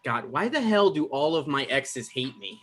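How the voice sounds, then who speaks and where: A man's voice: fairly high pitch, very animated, normal speed, fairly bright tone. Man acting a flustered, apologetic boyfriend type. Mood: uneasy. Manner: stammering, flustered, naturalistic. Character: anxious, apologetic man confronting his exes, defensive. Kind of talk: live table read acting.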